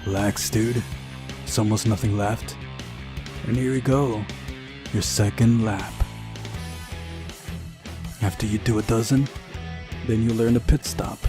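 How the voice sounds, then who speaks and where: A man's voice: lowish voice, fairly even intonation, a little slow, long pauses, over music, natural voice. A man voicing a smug, taunting young pioneer boy, a malicious ghostly prankster in a visual novel.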